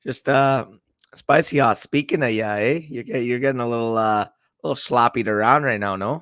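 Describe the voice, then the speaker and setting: A young adult man with a hoarse voice, co-hosting a casual livestream and teasing playfully with lots of slang. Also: mid-range voice, normal speed, fairly bright tone, no room sound, clean studio sound. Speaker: co-host.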